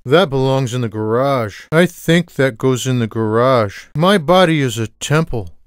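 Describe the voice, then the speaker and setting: A man voicing a lazy, sarcastic, food-loving cartoon cat in a video game, delivering dry, deadpan one-liners without any hurry. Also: mid-range voice, clean tone, no room sound, natural voice. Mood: dry sarcasm. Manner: deadpan, dry, unhurried wisecracking. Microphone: close studio microphone, dry sound.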